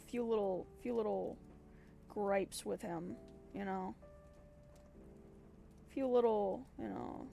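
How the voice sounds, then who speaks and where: A woman's voice: mid-range voice, vocal fry. An American woman streaming and talking casually about voice acting, rambling and playful, often correcting herself. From United States. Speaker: streamer and voice actor. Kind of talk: casual livestream chat.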